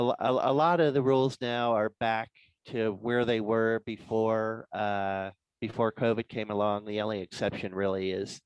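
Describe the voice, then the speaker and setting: Low-voiced man giving an informal club presentation. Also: vocal fry, darkish tone, clear, resonant tone, no room sound, muffled recording. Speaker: guest speaker presenting contest rules. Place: online club meeting.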